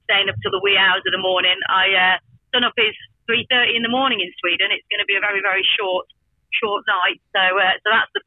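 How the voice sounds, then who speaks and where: A woman's voice: medium pitch, no echo. A chatty, self-deprecating woman, a team captain giving a casual interview over the phone. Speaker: amateur football team captain being interviewed. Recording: tinny telephone line.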